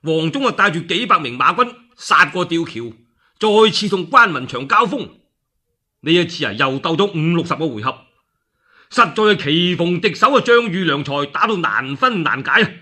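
Cantonese guy telling an old war epic, really forceful and raspy, pitch all over the place. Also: big pitch swings, moderate pace. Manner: theatrical, with exclamations and spoken sound effects. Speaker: radio storyteller. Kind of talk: dramatic one-man narration of a classical war tale.

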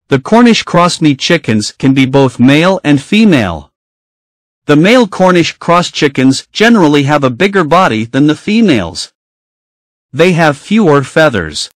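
Higher-voiced man narrating in a dry recording. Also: dry recording. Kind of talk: scripted informational narration.

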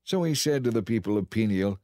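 A man narrating a dramatized audio Bible in a measured, clearly enunciated storytelling style. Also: on the low side, animated, dark tone, dry recording, clean studio sound.

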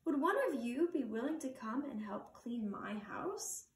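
A young woman doing a gentle hermit crab. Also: a little high, animated, a little slow. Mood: earnest. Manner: polite, earnest asking. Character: gentle male hermit crab, polite and kindly. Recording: roomy living room from far camera.

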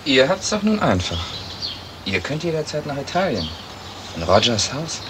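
An actor playing a lazy, teasing young fiancé in a 1970s radio crime drama, with a flippant, mocking way of talking. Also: male voice, mid-range voice, sing-song, normal speed, natural voice. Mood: needling. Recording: slightly noisy vintage radio recording.

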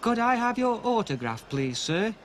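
A man's voice: high voice, very animated, normal speed, voice pushed up. A man voicing an eager, polite young boy. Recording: audible background noise.

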